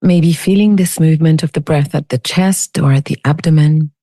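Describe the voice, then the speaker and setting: A woman leading a guided meditation as a teacher, speaking in a soothing, unhurried, gently inviting way. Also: sits low, no echo, studio quality.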